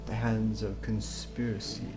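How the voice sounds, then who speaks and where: A man's voice: fairly low pitch, flat delivery, unhurried, music in the background, natural voice. A man voicing a brooding, paranoid young man in a dramatic, grave reading of a visual novel script. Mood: brooding, regretful.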